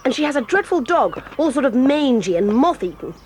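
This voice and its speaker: An actress voicing a proud, quick-tempered tomboy girl in an audio drama, talking in a brisk, bossy and eager way. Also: high voice, sing-song, a little mellow, a little hiss or hum. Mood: excited, lively. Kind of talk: 1980s audio drama acting.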